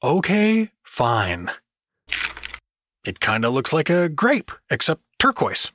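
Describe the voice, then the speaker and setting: Hapless everyman adventurer, sarcastic, very animated, unhurried, dry close recording, comic fantasy audio drama. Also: a little high, no room sound, full character voice. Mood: hesitant, joking. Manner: sarcastic, hesitant, joking. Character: wounded, wisecracking hapless everyman hero in fantasy. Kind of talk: comedic audio drama acting.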